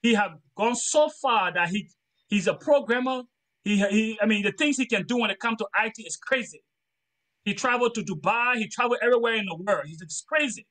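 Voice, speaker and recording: A man's voice: high-pitched, fairly quick, sharp tone, low-quality recording. A middle-aged man from Liberia with a Liberian accent, giving an earnest, preachy motivational talk during a training lesson and repeating his phrases for emphasis.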